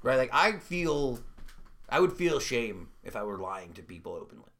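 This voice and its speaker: A man's voice: mid-range voice, very animated, moderate pace, a bit bright. A man hosting a podcast in casual chat, talking loosely with lots of fillers and laughs, his voice worn from talking all day. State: losing his voice. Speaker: podcast host.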